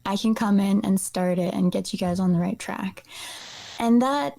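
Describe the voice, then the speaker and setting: Woman from Iceland, gravelly creak, soft mellow tone, casual explanatory guest. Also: medium pitch, normal speed. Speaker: guest, nutrition practitioner. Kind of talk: casual explanatory interview talk.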